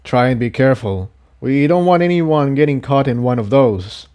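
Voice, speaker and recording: A man's voice: medium pitch, lively intonation, normal speed, darkish tone, natural voice. A man voicing a practical, protective young male pony adventurer in a storybook reading, plain and advising. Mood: encouraging, careful.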